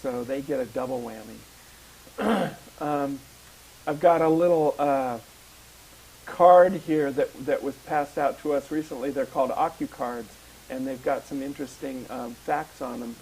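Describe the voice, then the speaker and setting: A man co-hosting an activist talk show, hoarse from a cold, speaking casually with many pauses and fillers and reading facts aloud. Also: medium pitch, darkish tone, slight background noise, low-quality recording. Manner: hesitant, many ums, reads facts from a card. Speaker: public access show co-host.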